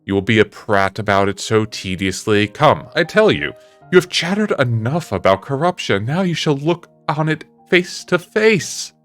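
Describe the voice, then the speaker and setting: A proud young gentleman of an audiobook, acted out by a man, pitched low and hard. He is cold, vain and mocking, with deliberate, scornful delivery. Music runs underneath. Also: sing-song.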